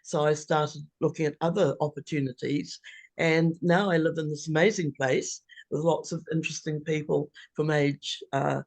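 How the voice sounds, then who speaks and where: An older woman speaks with a New Zealand accent and a gravelly creak, captured quite dry. She tells her own story informally as a presenter, in a measured, reflective way. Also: low voice, fairly even intonation, darkish tone, no echo. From New Zealand, born in England. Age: older, about 70s. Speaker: co-housing founder presenting. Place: video call.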